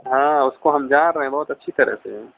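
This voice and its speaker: A man's voice: mid-range voice, a little fast, creaky, no room sound. Young man on a tinny phone line. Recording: tinny, band-limited phone call recording.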